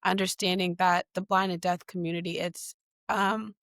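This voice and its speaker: A woman from Boston, a guest in an interview, answering in a conversational way with many pauses, fillers and restarts. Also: lowish voice, fairly even intonation, a bit bright, dry recording, studio quality. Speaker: guest, digital equity leader.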